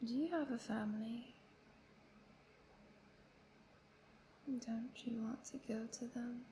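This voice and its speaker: A seductive, menacing vampire woman, acted by a female performer in an audio roleplay, speaking slowly and often half-whispering. Her voice sits fairly high and crackles with fry as she taunts with playful cruelty. There is clear noise behind her. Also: mellow. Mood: coolly menacing. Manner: taunting, slow, breathy half-whispered delivery. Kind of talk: scripted roleplay audio drama.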